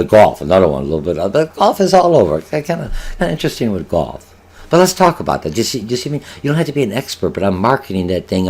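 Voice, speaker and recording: Older male instructor, Polish native, deep low voice, rambling lecture with many fillers, webcam in a home office. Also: darkish tone. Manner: rambling, many filler sounds and restarts, rhetorical questions. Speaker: college marketing instructor. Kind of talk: online lecture over slides.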